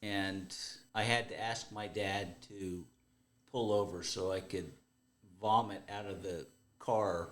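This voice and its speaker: A man's voice: low voice, fairly even intonation, slow, fairly bright tone. A man in a casual podcast chat, telling stories and cracking dry jokes.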